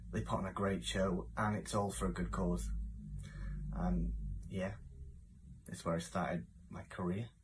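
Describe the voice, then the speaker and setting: A man gives a testimonial as a stage performer. His voice sits low, and there is a faint layer of background noise under it. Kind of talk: testimonial to camera.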